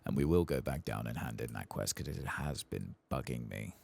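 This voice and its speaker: A man giving soft-spoken ASMR game commentary in a gentle, breathy murmur that often drops toward a whisper, close to the microphone. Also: very deep voice, animated, moderate pace. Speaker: game streamer.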